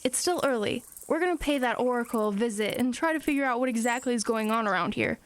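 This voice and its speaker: A woman playing a plucky teenage girl in an audio drama, matter-of-fact, earnest and brisk. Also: a little high, background noise, natural voice. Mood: earnest, determined.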